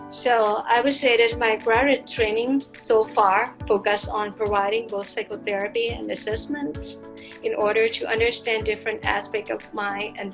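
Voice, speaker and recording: Thai-accented woman with a gravelly edge. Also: medium pitch, low-quality recording. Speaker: doctoral student. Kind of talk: prepared testimonial.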